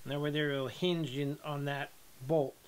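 A man's voice: fairly high pitch, normal speed, clean tone. Plain-spoken man teaching welding. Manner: relaxed, plain-spoken, with ums and restarts. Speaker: hobby welder teaching a project. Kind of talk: casual workshop tutorial. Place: home metal workshop.

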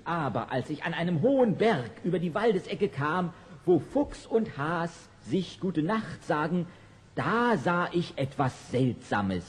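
A man's voice: a little high, very animated, unhurried. A man playing a loyal, earnest royal messenger, telling his report dramatically in a fairy-tale audio play.

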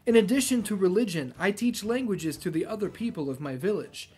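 A man voicing a calm, gracious temple priest, speaking softly but firmly in a measured, courteous way. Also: sits high, husky.

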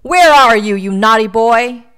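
A woman's voice: a little high, animated, a little slow, a bit bright, clean tone. A woman voicing a ferocious angry mother in a storybook, roaring and scolding. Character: ferocious angry mom roaring for her son.

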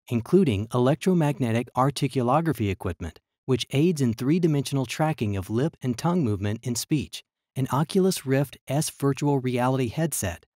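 A man reading a scripted virtual tour narration in an even, measured way. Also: mid-range voice, no room sound, clean studio sound.

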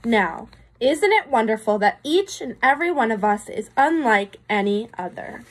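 Young female teacher, picture-book read-aloud, very varied intonation, a little husky, speaks directly to young listeners. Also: a little high, expressive, normal speed. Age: young adult. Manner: questioning, explanatory, addressing young listeners. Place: small room indoors.

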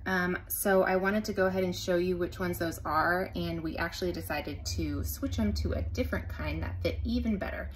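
A woman in her thirties talking casually to the camera inside an RV kitchen, relaxed and pausing on frequent ums, filmed on a phone at arm's length. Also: lowish voice, a little hiss or hum, rough recording. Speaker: RV lifestyle vlogger.

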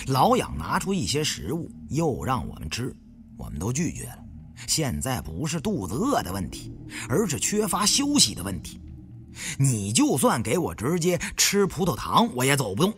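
A man narrating a story as an audiobook, with expressive, theatrical phrasing. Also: medium pitch. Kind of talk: dramatic first-person audiobook storytelling.

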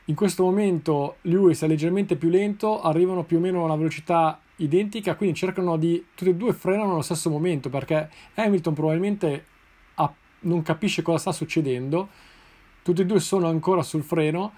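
A man in his thirties from Italy giving informal, explanatory race commentary in a measured way, often pausing mid-sentence. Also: on the high side, mellow. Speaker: motorsport video host. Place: small indoor room, seated in a sim racing seat.

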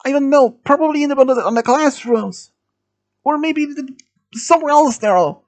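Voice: sits high, normal speed, voice pushed up. A shy teenage boy acted out by a storyteller pleading in a dramatic story. The voice sits well above the narration, stammering and anxious. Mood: frightened.

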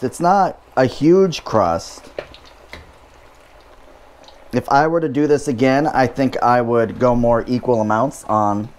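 A man's voice: medium pitch, a little mellow. A man hosting a home cooking show, talking through each step in a casual way with dry, wry asides.

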